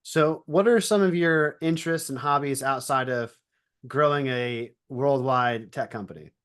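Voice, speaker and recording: A man of middling pitch hosts an interview podcast. He asks questions in an easygoing, folksy way. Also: measured pace, fairly bright tone, clean tone. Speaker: podcast host. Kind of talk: interview hosting.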